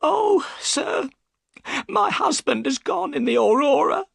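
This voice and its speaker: A man's voice: very high voice, slow pace, gravelly, a bit bright, no echo, studio quality, pitched above the performer's own voice, full character voice. A man voicing a worried, upset boatman's wife, plaintive and deferential, in an audiobook story. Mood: tearful, distraught.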